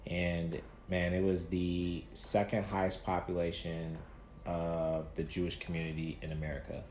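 A man in his thirties from Nigeria, a guest talking casually about his life, relaxed, with many fillers and restarts. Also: low-pitched, moderate pace. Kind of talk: casual interview storytelling. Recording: echoey room with faint noise.

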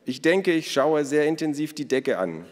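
A sarcastic, bossy storybook girl, put on by a man reading aloud, raised well above his normal pitch. She is loud and teasing, and the room gives a long hall reverb. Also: sing-song, moderate pace. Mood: dryly sarcastic. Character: cheeky, sarcastic, bossy girl lying paralysed.

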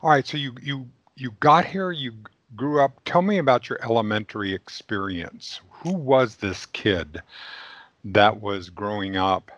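A man born in Mexico hosting a casual interview, asking questions in a deliberate way with stumbling restarts. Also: mid-range voice, lively intonation, a bit bright, no echo, highs cut off. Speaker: interview host.

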